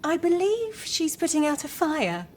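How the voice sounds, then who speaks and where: An actress playing a courteous, gently teasing female artificial intelligence assistant in a video game. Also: sits high, sing-song, a little slow, a little hiss or hum. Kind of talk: video game cutscene acting.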